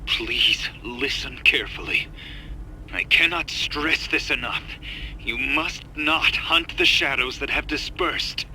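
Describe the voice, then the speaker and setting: A man's voice: medium pitch, slow, sharp tone, a little hiss or hum, poor audio. A pleading, remorseful scientist father. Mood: grave. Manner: earnest, pleading. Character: middle-aged scientist father, grave, remorseful. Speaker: character in a video message. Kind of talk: game cutscene voice acting.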